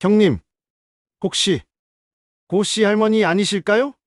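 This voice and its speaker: A woman's voice: medium pitch, steady tone, unhurried, dry recording, natural voice. A woman putting on the voice of a hot-tempered middle-aged uncle in an audio drama, blunt and agitated, recorded dry. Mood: confiding.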